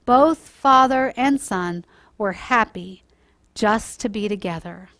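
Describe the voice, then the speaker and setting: A woman telling a Bible story to children, explaining it simply and deliberately as a church storyteller. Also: medium pitch, a bit bright, no echo. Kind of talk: read-aloud children's story with informal moral teaching.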